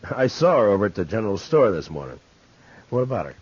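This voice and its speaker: A man playing a steady, patient town marshal with an American accent, terse and measured, in 1950s radio western style. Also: lowish voice, slightly rough. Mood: questioning. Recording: dull vintage radio recording.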